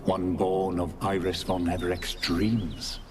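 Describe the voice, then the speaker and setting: An actor playing a cryptic spirit servant in a fantasy game, with a measured, knowing manner. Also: male voice, deep voice, level tone, noisy background. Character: cryptic male spirit servant of a painted world. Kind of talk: dramatic video game acting.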